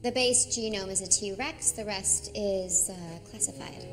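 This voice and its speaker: An actress playing an anxious, businesslike park executive in film dialogue, speaking formally and a little haltingly. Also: female voice, mid-range voice, over music, lightly acted. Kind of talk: film dialogue in a game cutscene.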